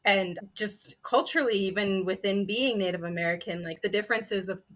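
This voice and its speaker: A woman's voice: mid-range voice, brisk, a bit bright. A woman on a panel, casually telling personal stories in an informal, conversational way. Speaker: storyteller panelist.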